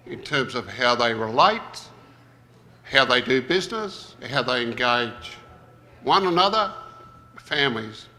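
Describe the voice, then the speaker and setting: A man's voice: mid-range voice, sharp tone. A man giving a reflective plenary talk at a conference, thinking aloud as he goes. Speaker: conference presenter. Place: conference hall. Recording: reverberant conference hall.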